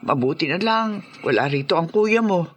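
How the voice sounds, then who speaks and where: A woman's voice: low-pitched, animated, normal speed, slightly rough. An actress playing an apologetic, peacekeeping middle-aged mother in a Filipino radio drama. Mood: apologetic, worried. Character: apologetic, peacekeeping mother of grown quarrelling children.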